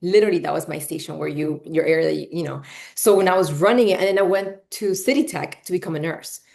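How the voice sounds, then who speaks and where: Woman host, 40s, from Peru by way of Miami, lowish voice, casual rambling interviewer. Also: quick pace, creaky, darkish tone. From Peru, raised in Miami. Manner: chatty, lots of fillers, frequent "right?" and "you know". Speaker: podcast host.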